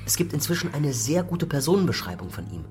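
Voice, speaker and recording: A man's voice: fairly high pitch, very animated, fast, darkish tone, soft background music. An actor playing an eager young policeman in a radio play, speaking in an earnest, confiding way. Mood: earnest, urgent. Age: young adult.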